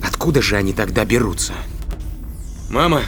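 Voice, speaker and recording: A man's voice: fairly low pitch, lively intonation, moderate pace, a bit bright, faint music behind. A man playing an inquisitive spaceship captain in a science fiction audio drama, commanding and thinking aloud. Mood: pensive, suspicious.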